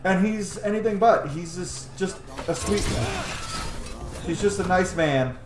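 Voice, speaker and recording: A man's voice: high voice, a little slow, reverberant, music in the background. A man giving casual, chatty, enthusiastic gameplay commentary, with some offhand swearing. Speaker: host of a gameplay series.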